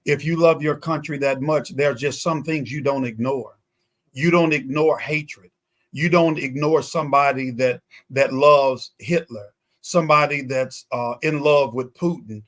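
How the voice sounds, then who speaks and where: A man's voice: medium pitch, measured pace, fairly bright tone. A man in a conversational political talk show discussion, speaking emphatically and repeating phrases for weight.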